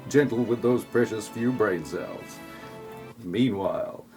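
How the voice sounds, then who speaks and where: A man's voice: lowish voice, slow, music in the background. A man narrating a comic parody audio play in a wry, mocking manner.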